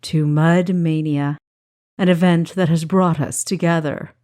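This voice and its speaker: A woman's voice: sits low, steady tone, measured pace, dark tone. A woman voicing a genial, courtly male doctor at a storybook dinner, with formal, grandly gracious phrasing.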